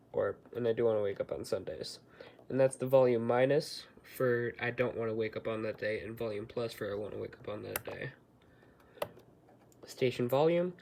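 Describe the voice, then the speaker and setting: A man giving a casual, somewhat halting hands-on product demonstration, explaining step by step. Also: mid-range voice, gravelly, darkish tone, slight background noise. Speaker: hobbyist product reviewer.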